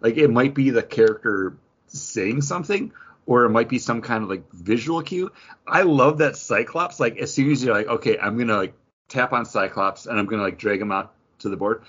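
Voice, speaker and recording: A man's voice: medium pitch, moderate pace, fairly bright tone, husky. A man hosting a podcast, chatting casually and enthusiastically about a hobby. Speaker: podcast host. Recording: dull lo-fi audio.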